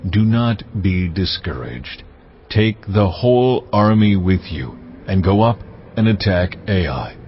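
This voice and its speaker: An actor playing the solemn, commanding voice of God in stately, emphatic pronouncements for a dramatized audio Bible. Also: male voice, sits low, animated, takes it slowly, slight background noise. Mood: commanding, assuring. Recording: slightly muffled, music behind the voice. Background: dramatic music.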